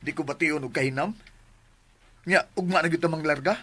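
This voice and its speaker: A medium-pitched voice plays an eager grown-up child in a radio drama. The character is dutiful to a parent and speaks with a Cebuano accent. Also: a little fast, fairly bright tone, husky. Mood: excited, pleased.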